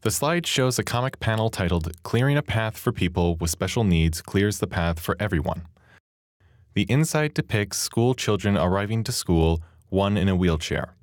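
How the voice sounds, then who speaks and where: A man reading scripted narration that describes slides and credits in a plain, even way. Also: lowish voice, moderate pace, no echo.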